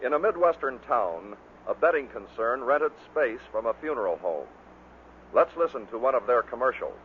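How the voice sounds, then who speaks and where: A man's voice: mid-range voice, animated, normal speed. Male narrator, scripted bloopers-record links, thin old radio sound with faint noise. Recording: noisy, tinny vintage radio recording.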